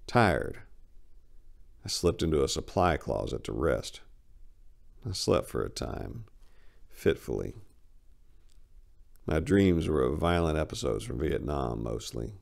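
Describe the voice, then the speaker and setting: A man's voice: a bass voice, big pitch swings, a little slow, gravelly, long pauses, darkish tone. A man narrating a short story as a dramatic reading, as its host.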